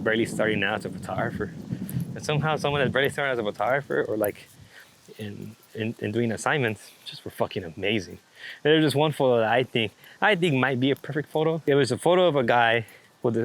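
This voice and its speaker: Casual man, rambling over street noise. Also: medium pitch. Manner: laid-back, rambling, swears casually. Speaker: street photographer being interviewed. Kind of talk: casual chat.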